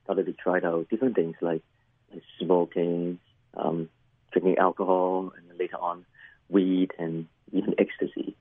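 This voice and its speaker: A middle-aged man from Hong Kong whose first language is Cantonese, a pastor telling his life story in an interview, halting and repeating words with many fillers. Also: low-pitched, little pitch movement, slightly rough, dry recording, narrow, tinny audio. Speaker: pastor and chaplain, guest. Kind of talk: personal testimony interview.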